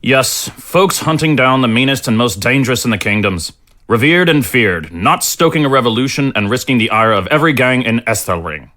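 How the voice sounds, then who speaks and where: A man acts out a grumbling, fed-up little innkeeper in a fantasy audio drama. His scolding voice is forceful and bright, at a middle pitch. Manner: gruff, scolding. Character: grumbling, exasperated small male innkeeper.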